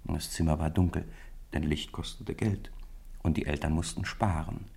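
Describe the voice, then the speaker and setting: A man's voice: very deep voice, fairly even intonation, moderate pace, vocal fry, a little mellow, husky. A man giving scripted literary narration as the storyteller of a radio play.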